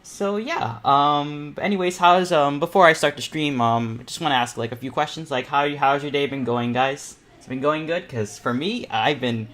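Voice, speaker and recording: A teenage male streamer chatting casually as host of an online painting panel, nervous and excitable, in a rambling way. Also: on the high side, moderate pace, few pauses. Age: teens. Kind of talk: casual livestream chat. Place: indoors at a computer, live stream.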